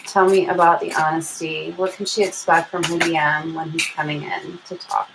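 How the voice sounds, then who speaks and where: Lower-voiced American woman reading tarot live. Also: flat delivery, moderate pace. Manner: informal, thinking aloud, addresses viewers affectionately. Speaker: tarot reader hosting a live stream. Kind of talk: casual live tarot reading, chatting to viewers. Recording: clear background noise and room ambience.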